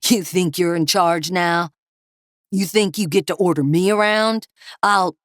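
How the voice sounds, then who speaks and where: A woman voicing a short-tempered male meth cook who yells crude threats. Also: on the high side, expressive, moderate pace, dry recording, studio quality, lightly acted. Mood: yelling. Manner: yelling, crude, menacing.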